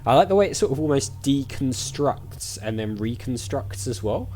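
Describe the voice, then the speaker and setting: A man's voice: mid-range voice, lively intonation, moderate pace, a little mellow, slight background noise. A man giving casual let's play commentary on an adventure game, chatty and musing aloud as he goes. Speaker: gamer playing an adventure game. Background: game music.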